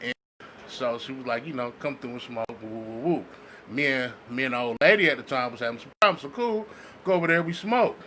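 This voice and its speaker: A man's voice: mid-range voice, fairly quick, bright, forceful tone. An American man co-hosting a podcast, chatting casually in slang and often checking that he is followed. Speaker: podcast co-host. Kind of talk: casual podcast chat and storytelling. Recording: clearly noisy background.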